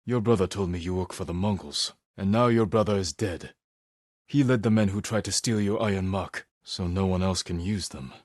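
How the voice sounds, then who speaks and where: Stoic samurai warrior, lowish pitch, husky, solemn, terse, honour-bound, dramatic video game dialogue. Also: male voice, darkish tone, no room sound, clean studio sound. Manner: measured, solemn, terse delivery.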